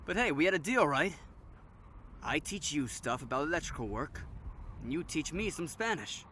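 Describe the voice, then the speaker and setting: A man's voice: on the high side, sing-song, normal speed, sharp tone, a little hiss or hum. A man playing a playful, teasing young electrician in an audio drama, upbeat, joking and friendly. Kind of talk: naturalistic audio drama acting.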